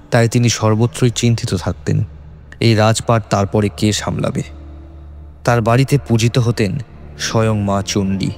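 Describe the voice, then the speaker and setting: Low-pitched Bengali male horror narrator. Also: a little mellow. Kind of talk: scripted horror story narration.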